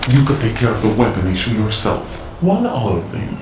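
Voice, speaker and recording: An actor playing a mysterious, cryptic male informant in a video game cutscene, speaking in a measured, lecturing way. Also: lowish voice, sing-song, background noise. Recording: roomy game mix with loud music.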